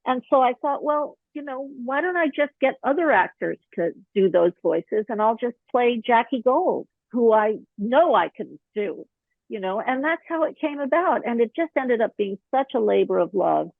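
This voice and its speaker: A slightly hoarse woman chatting as an interview guest, telling stories animatedly with lots of fillers. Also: fairly high pitch, expressive, moderate pace, no room sound. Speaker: podcast guest, author and actor. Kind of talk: casual interview chat. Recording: dry, thin phone-line sound.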